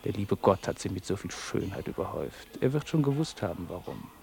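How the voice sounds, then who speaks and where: An actor in a 1960s German radio comedy playing a rich, smug company boss, smooth-tongued, flattering and pushy. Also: male voice, deep voice, brisk, heavily acted. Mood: wheedling, insistent.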